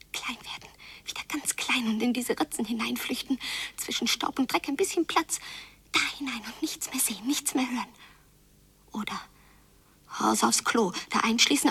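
A woman playing a frightened daughter in a radio drama, thinking aloud in hushed, breathy fragments. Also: a little high, far from the mic, full character voice. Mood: anxious, withdrawn, then wistful, numb. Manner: hushed, breathy interior monologue in clipped fragments. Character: Jule, frightened daughter brooding over her quarrelling parents. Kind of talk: literary radio drama monologue.

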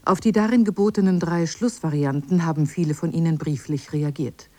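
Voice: mid-range voice, brisk, mellow, no echo. A radio announcer reading a formal scripted introduction.

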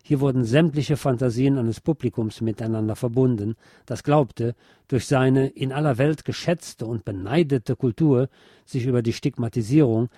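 A man reading a scripted documentary narration in a measured, articulate way. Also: mid-range voice, dark tone, dry recording, studio quality.

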